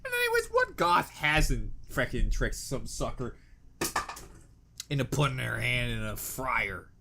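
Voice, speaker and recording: A man's voice: mid-range voice, huge pitch swings, measured pace, creaky, background noise. A man hosting a reaction video, giving casual, irreverent comedic commentary full of jokey asides.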